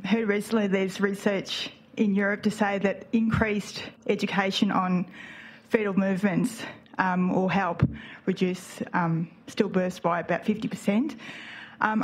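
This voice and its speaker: A woman's voice: medium pitch, poor audio. A woman asking a panel a question, tentative and searching for words. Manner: tentative, with fillers. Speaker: audience member. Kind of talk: question from the floor.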